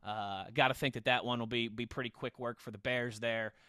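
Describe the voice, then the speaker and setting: A man's voice: medium pitch, fairly even intonation, fairly quick, pushed and bright, clean tone, no room sound, studio quality. A man hosting a casual football podcast in a conversational way, steering the discussion. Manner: conversational, steers questions to co-hosts. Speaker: podcast host.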